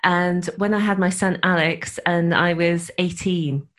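A woman's voice: fairly low pitch, steady tone, measured pace. A young woman from England with a Birmingham accent, chatting as a podcast guest and laughing easily. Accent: Birmingham English. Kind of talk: casual interview chat.

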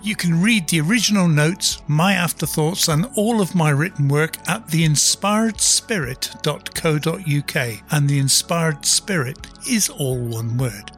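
A man with a fairly high voice reads a scripted outro as presenter. Soft music runs beneath him. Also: a little slow, few pauses. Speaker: presenter giving closing message. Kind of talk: scripted outro with donation appeal.

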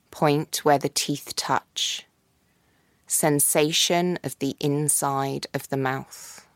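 Woman talking you through yoga nidra, super slow, soft and calm, dry close sound. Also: low voice, clear, resonant tone, no echo. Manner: slow, soft, calm guiding with long pauses. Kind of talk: guided meditation.

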